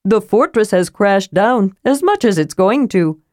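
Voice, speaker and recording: A woman's voice: medium pitch, expressive, measured pace, a little mellow, no echo, clean studio sound, pitched above the performer's own voice, natural voice. Woman voicing Tass, a small cheerful curious kender. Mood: eager.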